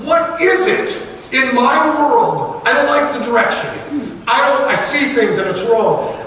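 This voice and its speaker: A man's voice: extremely high pitch, a little slow, sharp tone, slight background noise. A male pastor preaching a sermon, earnest and pressing the congregation with repeated questions. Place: large church sanctuary. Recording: echoey hall, mic far off.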